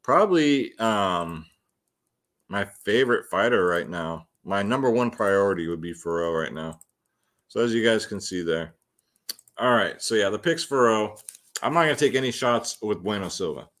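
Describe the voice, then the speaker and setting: A man's voice: fairly low pitch, expressive, moderate pace, a bit bright. A man hosting a fight picks show, talking casually and slangily to his viewers as guys while he gives predictions. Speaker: host of a fight picks and fantasy sports show. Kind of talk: casual solo fight predictions and betting commentary.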